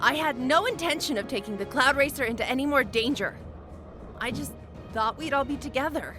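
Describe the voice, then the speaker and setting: A man's voice: very high voice, brisk, music in the background, voice pushed up. A man voicing a huffy, indignant young woman knight in an audiobook reading. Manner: indignant, scolding.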